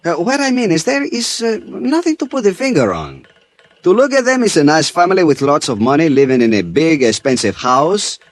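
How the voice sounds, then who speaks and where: Unhurried Latin American police chief, Spanish accent, highish lively voice, halting English, old radio drama. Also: male voice. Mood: courteous, evasive. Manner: halting, searching for English words. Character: relaxed Venezuelan police chief, mid-thirties, unhurried and courteous. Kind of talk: 1950s radio drama acting.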